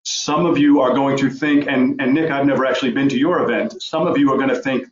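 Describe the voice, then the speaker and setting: A man from Long Island with a faint New York accent, giving a fast, informal webinar presentation over a video call. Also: mid-range voice, steady tone. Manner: fast-talking, informal and direct. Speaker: guest speaker. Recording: echoey, dull video call audio.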